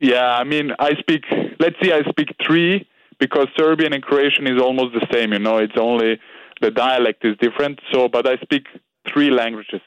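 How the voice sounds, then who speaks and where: A young man with an Austrian German accent, a fighter being interviewed, speaking halting English with many "you know"s. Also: medium pitch, steady tone, clear, resonant tone, dry recording, dull audio. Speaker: guest fighter. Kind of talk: interview over a call.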